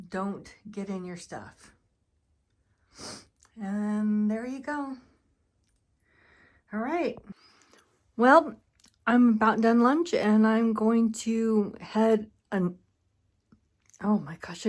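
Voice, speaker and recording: Chatty slow-talking woman on a trail. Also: mid-range voice, dry recording, studio quality. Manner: chatty, upbeat, narrating a walking tour. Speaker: thru-hiker vlogger. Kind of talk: casual vlog narration. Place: outdoors on a mountain trail.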